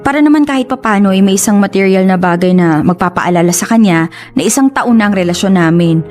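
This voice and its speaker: A woman's voice: mid-range voice, expressive, quick pace, a little mellow, soft background music, natural voice. A young woman with a Filipino accent acting an exasperated, earnest girlfriend confiding in a friend in a radio drama. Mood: defensive, frustrated.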